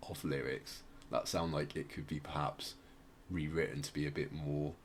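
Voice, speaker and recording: Man, podcast host, very low voice, casual music discussion, rambling explanations, noticeable background noise. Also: steady tone, normal speed.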